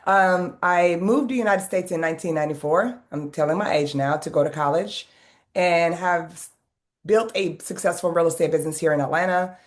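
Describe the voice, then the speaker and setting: Low-voiced Swedish-raised woman in her fifties. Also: brisk. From Sweden. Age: 50s. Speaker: guest. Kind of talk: casual interview.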